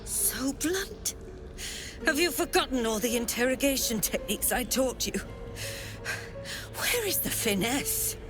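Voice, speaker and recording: Slow, imperious cult leader. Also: female voice, sits high, animated, music in the background. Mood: mocking. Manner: grandiose, deliberate, commanding. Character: imperious, zealous female cult leader, devoted to dark goddess. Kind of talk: dramatic video game voice acting.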